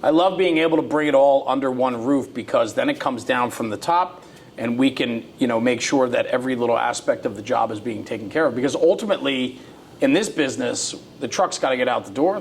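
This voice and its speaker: An American man interviewing conversationally as a show host, engaged and explaining his points at length. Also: quick pace. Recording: faint room noise with low rumble.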